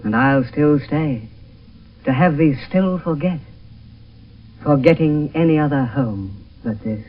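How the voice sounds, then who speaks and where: A muffled, hissy old radio play, slightly distant. a dreamy young British lover, fairly high in voice, giving impassioned and lyrical verse. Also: male voice, animated, takes it slowly. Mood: adoring. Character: Romeo, ardent, dreamy young nobleman in love. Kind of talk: 1970s classical radio drama verse acting.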